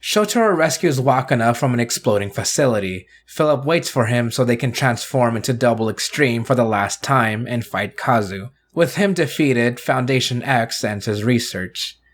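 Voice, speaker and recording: A man's voice: mid-range voice, lively intonation, normal speed, hardly any pauses. A man hosting a review video, narrating a scripted recap in a casual, conversational way.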